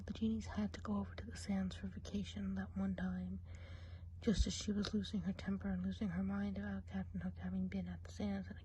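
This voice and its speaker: Woman as a gossipy forest witch, mostly whispered, mellow tone, faint hiss behind. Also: medium pitch, close to an everyday voice, poor audio. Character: gossipy woodland witch woman, chatty and nosy. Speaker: ASMR role-play performer. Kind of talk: ASMR role play.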